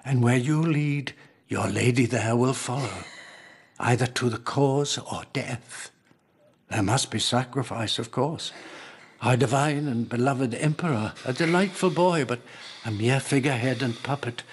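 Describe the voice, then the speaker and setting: An actor playing a young high-born plotter in a radio drama, fervent, haughty and menacing, with deliberate, persuasive delivery. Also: male voice, breathy edge. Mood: fervent, conspiratorial.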